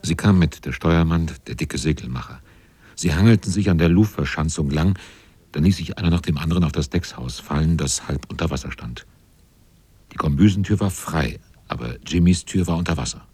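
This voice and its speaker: An extremely deep, husky man narrates a radio drama. His storytelling is dry and measured, recorded close and without room sound. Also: mellow, dry recording.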